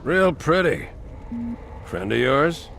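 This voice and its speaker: Bright, forceful military captain. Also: male voice, fairly high pitch, sing-song, slow pace, gravelly, slight background noise, full character voice. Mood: curt. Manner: curt, commanding. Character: authoritative male military captain, curt and composed. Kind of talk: video game cutscene acting.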